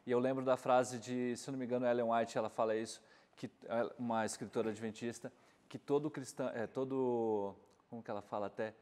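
A Brazilian man in his thirties hosts a TV interview in a conversational style. His mid-pitched voice moves quickly, with long reverb around it. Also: steady tone, darkish tone. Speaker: TV interview host. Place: TV studio, seated. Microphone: lapel mic.

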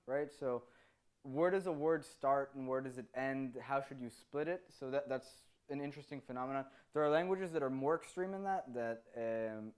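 A man in his thirties, native Hebrew speaker, fields questions from an audience in a meeting room. He talks into a handheld mic, animated and gesturing, tagging his points with a quick "right?". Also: mid-range voice, clear, resonant tone. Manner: animated, gestures with free hand, tags sentences with "right?". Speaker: conference speaker. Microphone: handheld microphone.

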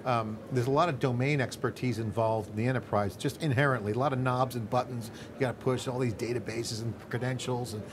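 A man's voice: mid-range voice, fairly even intonation, brisk, few pauses, background noise. A middle-aged man hosting a tech interview on a studio set, talking loosely and thinking aloud with restarts. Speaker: host. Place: studio set on a stock exchange floor. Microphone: lapel mic. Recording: clear background ambience.

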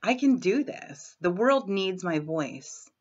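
A woman in her thirties, hoarse from a head cold, talking frankly and clearing her throat as she guides a tapping session at home on her laptop webcam. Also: on the low side, expressive, takes it slowly. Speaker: tapping coach. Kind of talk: self-help vlog with guided tapping. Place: small room at home, indoors. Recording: dull lo-fi webcam sound.

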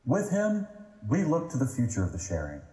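A man's voice: mid-range voice, wildly swooping pitch, a little fast, warm tone. A man playing a polished, reverent master of ceremonies, making a stately formal introduction in an audio drama. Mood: ceremonious. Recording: echoey hall with long reverb.

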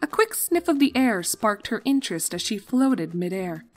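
A woman's voice: medium pitch, sing-song, normal speed, soft background music. A young adult woman reading scripted story narration as the narrator of a fan fiction.

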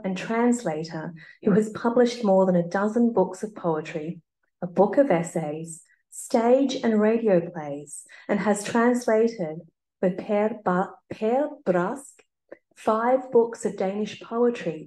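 A woman's voice: medium pitch, mellow, poor audio. A woman reading out a prepared introduction as host of a poetry event.

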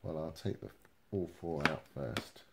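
A young man talking in a casual, low-key way, caught off-mic on a noisy handheld recording. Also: a little slow, vocal fry, sharp tone. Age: young adult. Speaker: vlogger's partner.

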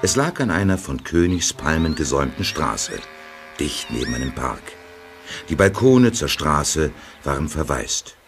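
A man narrating a radio play in a calm, measured way. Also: a bass voice, soft background music, full character voice. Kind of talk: scripted narration.

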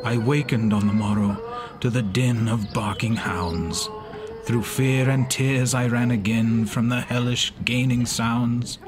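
An actor playing an anguished reanimated monster, a man reciting his story gravely in measured horror verse. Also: medium pitch, steady tone, music in the background, natural voice. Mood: yearning, intense. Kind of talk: dramatic horror monologue.

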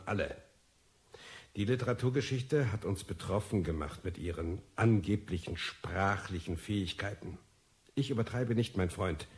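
An actor playing an arrogant, eccentric scholar who lectures pompously in a deep, strong, husky voice. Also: male voice, darkish tone.

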